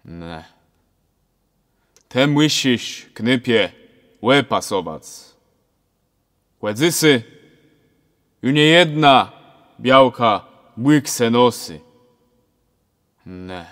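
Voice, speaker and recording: A man's voice: mid-range voice, animated, drawn-out words, long pauses, a bit bright. A man playing a jovial, preachy village priest with a Kashubian accent in an amateur radio play, speaking in a sing-song sermon manner. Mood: preachy, folksy advice. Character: older male village priest, jovial, preachy, counselling a young couple. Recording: very reverberant hall-like sound.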